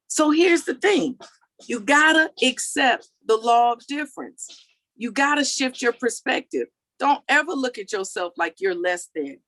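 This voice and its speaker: A woman in her forties gives a motivational talk in a high voice, her country accent blending Texas twang with Maryland. She speaks with emphasis and keeps checking in with "okay" and "all right." The recording is dry and close, with no room sound. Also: fairly bright tone, dry recording. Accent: mix of Texas and Maryland country. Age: 40s.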